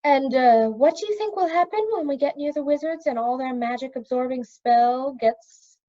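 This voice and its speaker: A woman voicing a sensible, sharp-tongued witch in a storybook, with a dry, pointed delivery. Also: sits high, normal speed, no room sound, muffled recording, pitched above the performer's own voice, lightly acted. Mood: scornful.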